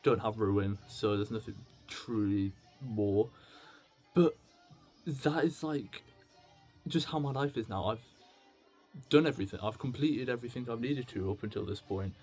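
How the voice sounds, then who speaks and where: A man's voice: mid-range voice, unhurried, long pauses, darkish tone, breathy edge, soft background music. A man in his late teens talking casually and confessionally to camera, rambling and stammering as he thinks aloud. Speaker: video blogger.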